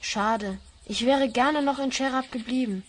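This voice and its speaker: Earnest high-voiced young hero. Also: female voice, measured pace, a little hiss or hum. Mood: wistful. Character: earnest young hero on a quest, homesick and polite. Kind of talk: scripted children's fantasy radio play.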